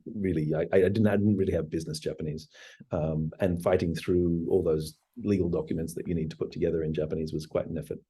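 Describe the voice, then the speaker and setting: A man's voice: deep voice, fairly even intonation, fast, mellow, slightly rough. A man in his forties, a company founder answering interview questions in a hesitant, reflective way, full of fillers and restarts. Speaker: startup CEO guest.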